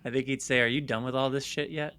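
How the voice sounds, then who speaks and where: A man with a crisp, resonant voice and a bright, forward tone speaks at a medium pitch. He talks candidly, like a guest telling stories in an interview. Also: fairly even intonation, fast. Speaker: guest, filmmaker and company CEO.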